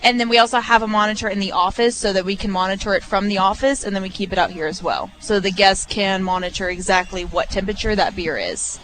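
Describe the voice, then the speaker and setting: A woman's voice: medium pitch, steady tone, quick pace, few pauses, a bit bright, a little hiss or hum, rough recording. A woman in her twenties, from Arkansas, talking casually as a guest on a live radio broadcast from a restaurant bar. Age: 20s. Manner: easygoing, upbeat, lots of fillers. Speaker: guest, restaurant assistant manager. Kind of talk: casual on-air chat.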